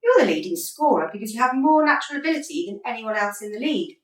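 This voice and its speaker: Radio comedy: exasperated but patient female football coach played by a woman, articulate, swooping pitch, echoey room. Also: big pitch swings, quick pace. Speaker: actor in a radio play. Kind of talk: radio comedy drama acting. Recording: roomy echo, distant from mic.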